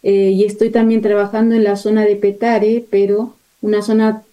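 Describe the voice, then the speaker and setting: A woman missionary describes her work as an interview guest. Her voice is extremely soft-toned and mellow, with flat, even intonation at a middling pitch. She often hesitates while searching for words. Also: moderate pace. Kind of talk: spontaneous interview account.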